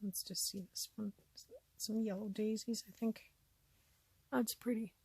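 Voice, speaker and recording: A woman from Canada with a slightly scratchy voice talks casually while she shows things off, her pitch sitting in an ordinary middle range. She often drifts into quieter asides before picking up again. The recording is dry and close, with no room sound. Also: little pitch movement, a little slow, husky, dry recording. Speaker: vlogger showing a thrift haul. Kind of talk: casual show-and-tell chat.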